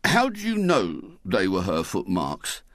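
A no-nonsense man in a storybook who meets every story with flat, doubting questions. A woman reader plays him close to her own voice. The sound is close and dry. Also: low voice, a little slow, no room sound, full character voice. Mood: skeptical. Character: skeptical, matter-of-fact man, Mr Bott.

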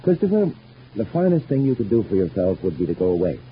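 Low-voiced, placating doctor, old radio. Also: male voice, very animated. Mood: concerned, firm. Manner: measured, placating, reasoning. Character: patient, reasonable male family doctor, quietly concerned. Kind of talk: old radio soap opera acting. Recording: dull, noisy old radio recording.

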